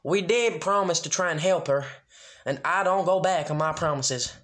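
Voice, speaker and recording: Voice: mid-range voice, normal speed, a bit bright, voice pushed up, natural voice. A performer voicing a plain-spoken, reassuring country pony woman in a story reading. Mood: calm, steady.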